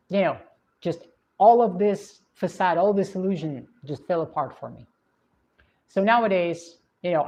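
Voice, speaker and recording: A woman from Russia with a Russian accent, hosting a livestream and chatting in a reflective, unhurried way with plenty of fillers. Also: lowish voice, a little mellow. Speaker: livestream host. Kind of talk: casual livestream chat reading viewer comments. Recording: dull lo-fi stream audio.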